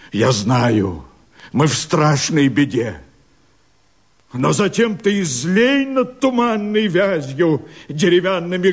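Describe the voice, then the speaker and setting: A man's voice: high voice, breathy edge, full character voice. A man acting a desperate, fiery rebel leader in a radio play, declaiming verse in an impassioned, oratorical way. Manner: impassioned, oratorical, drawn-out phrasing. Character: fiery desperate male rebel leader rallying his men.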